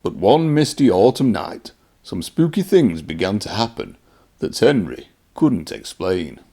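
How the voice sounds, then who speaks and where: Man reading a children's storybook, lively pitch. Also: a little slow, creaky, husky. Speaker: children's read-aloud presenter and narrator.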